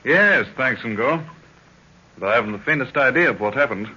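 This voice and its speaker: An actor playing an earnest, polite young adventurer in an old radio drama. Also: male voice, mid-range voice, animated, brisk, pushed and bright. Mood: earnest, cheerful. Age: young adult. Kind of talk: 1930s radio acting. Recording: muffled, faintly noisy 1930s radio recording.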